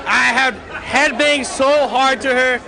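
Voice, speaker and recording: Male raver, high-pitched and hyped, slangy, crowd noise behind. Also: steady tone, takes it slowly. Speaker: festival-goer. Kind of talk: vox pop answer.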